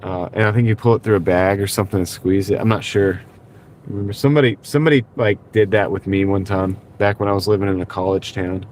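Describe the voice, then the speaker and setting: A man with a congested, slightly rough voice, chatting casually with his viewers during a livestream and chuckling as he reads their comments. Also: fairly low pitch, fairly even intonation, fast talker, a little mellow, a little hiss or hum. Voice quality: nasal from congestion. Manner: rambling, reads chat aloud, chuckles often. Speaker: livestream host. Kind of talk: casual live stream chat with viewers. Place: in his car at night. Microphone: wireless clip-on mic.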